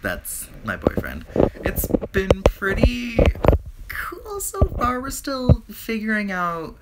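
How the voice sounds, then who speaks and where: A man whose voice is raspy from a recent flu, rambling through a casual video update with lots of fillers and restarts. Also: high-pitched, huge pitch swings, takes it slowly, very noisy. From Canada. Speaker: vlogger.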